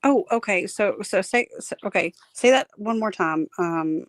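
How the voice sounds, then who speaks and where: A woman talking casually as a guest, her voice tired and strained, often laughing and halting with fillers. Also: mid-range voice, dry recording. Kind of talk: casual chat.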